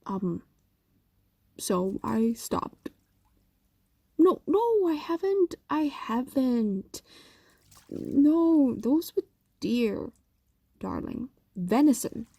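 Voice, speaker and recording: Casting: woman to play ancient yandere fox demoness, soft mellow voice, coaxing and doting yet predatory, intimate audio drama, very dry close sound. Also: high-pitched, sing-song, very slow, pauses often, no echo, exaggerated voice. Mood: sheepish, defensive. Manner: coaxing, hesitant explanations with whispers and sighs. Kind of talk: intimate audio roleplay acting, addressed to a lover.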